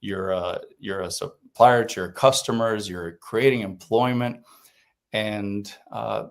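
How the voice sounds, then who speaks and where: Low-voiced man, native Spanish speaker. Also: steady tone, takes it slowly, vocal fry. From Puerto Rico. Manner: reflective, frequent restarts and fillers. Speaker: podcast guest. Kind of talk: conversational interview.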